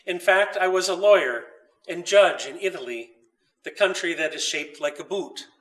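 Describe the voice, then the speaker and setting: A man's voice: high voice, normal speed, a bit bright, recorded from a distance, rough recording. A man voicing a wise saintly bishop who speaks from an icon, in a solemn, teacherly storybook manner. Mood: earnest recounting. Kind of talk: storybook character voice.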